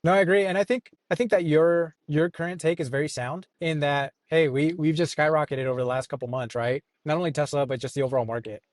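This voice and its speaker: A man's voice: a little high, steady tone, quick pace, hardly any pauses, no echo, studio quality. A man co-hosting a podcast, giving casual conversational market commentary with hedging, self-deprecating asides. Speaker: podcast co-host discussing stock investing.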